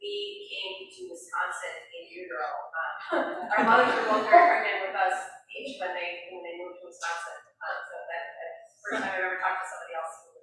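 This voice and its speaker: A woman's voice: on the low side, lively intonation, a little fast, sharp tone. A woman in the audience sharing a story with amusement, laughing as she talks. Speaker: audience member. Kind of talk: casual remarks. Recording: echoey room from far off, faint noise.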